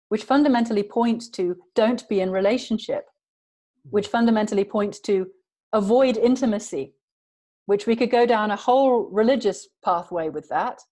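A woman's voice: medium pitch, dark tone. A woman guest in an interview, talking earnestly and emphatically in long flowing sentences. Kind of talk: passionate conversational interview.

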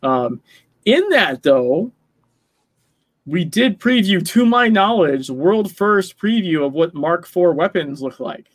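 A man's voice: high-pitched, lively intonation, slow pace, no room sound. A man talking casually in a gaming discussion, explaining things with hedging asides.

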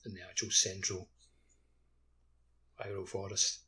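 A man's voice: low voice, level tone, normal speed, sharp tone, slight background noise. A man taking part in a casual gaming podcast conversation. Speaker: podcast participant. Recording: distant from the mic.

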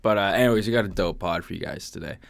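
A man's voice: low voice, very fast, creaky, dry recording. A man hosting a podcast in casual, joking and profane chat. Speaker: podcast host.

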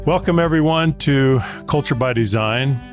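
A man hosting a podcast interview, introducing his guest in a courteous, measured, articulate way. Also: medium pitch, over loud music. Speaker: podcast host.

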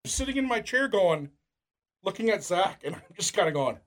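Higher-voiced middle-aged American man telling stories. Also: sing-song, brisk, fairly bright tone. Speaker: high school coach, podcast guest. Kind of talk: casual anecdotal storytelling.

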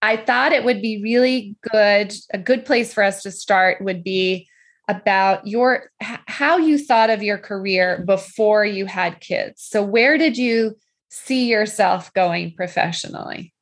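A woman's voice: mid-range voice, a little slow. A woman hosting a podcast interview, reading an upbeat introduction and then asking friendly questions. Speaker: podcast host.

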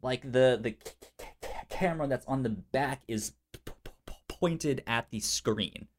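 A man's voice: medium pitch, normal speed, slight background noise. A man chatting casually as a podcast co-host, stuttering on first sounds of words and acting out conversations as he tells stories. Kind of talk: casual storytelling chat.

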